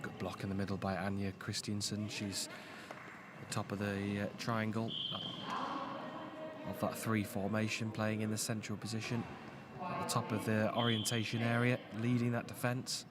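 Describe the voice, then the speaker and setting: A man doing live play-by-play commentary on a goalball match, calling the action in quick bursts. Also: fairly low pitch, little pitch movement, very noisy. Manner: calls the action in quick bursts, rising on big moments.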